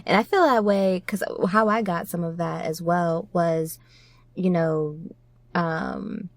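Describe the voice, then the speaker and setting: A woman's voice: fairly low pitch, fairly even intonation, slow, no echo. A woman podcast host chatting casually and reflectively, informal and emphatic, often checking in with her listener.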